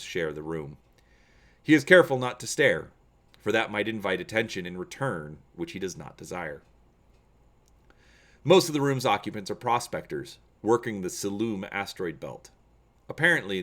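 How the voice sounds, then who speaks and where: A man reading an adventure gamebook aloud as a channel host, with measured, theatrical, deliberate phrasing. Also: fairly low pitch. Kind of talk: dramatic audiobook-style reading of an adventure gamebook.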